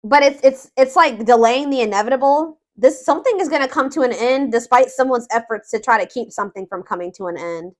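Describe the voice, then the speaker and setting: A woman with a fairly high voice talks through a tarot reading in a casual, unscripted way. She muses aloud, feeling for the right words and circling back to rephrase what she has just said. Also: fairly quick, hardly any pauses. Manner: hesitant, musing, repeats and rephrases herself. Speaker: tarot reader, host.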